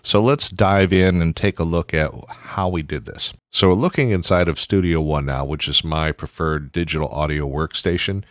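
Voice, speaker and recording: A man with a very deep voice hosts a casual audio tutorial. He explains each step in an informal, conversational way. The sound is very dry, with no room around it. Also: normal speed, few pauses, fairly bright tone, no room sound.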